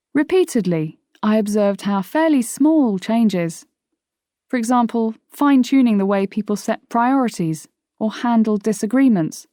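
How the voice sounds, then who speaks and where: Female author-narrator for nonfiction audiobook: articulate, measured delivery, mid-range pitch, very dry studio. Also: warm tone, no room sound. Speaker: author reading own audiobook.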